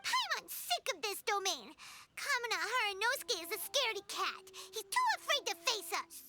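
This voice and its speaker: A tiny, excitable fairy companion for a video game. The voice is extremely high, squeaky and childlike, bouncing with cheeky energy. Prominent music plays behind it. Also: female voice, moderate pace, full character voice. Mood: grumpy. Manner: refers to self in third person, exclaims, sulks. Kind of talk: English video game voice acting. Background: background music.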